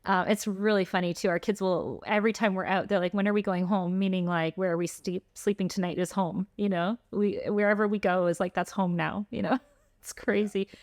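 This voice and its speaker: A woman's voice: mid-range voice, fairly even intonation, fairly quick, no echo. Chatty middle-aged woman, dry close sound. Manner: chatty, informal, frequent "like" and "you know". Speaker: podcast guest, traveling homeschooling mother. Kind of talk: casual interview chat.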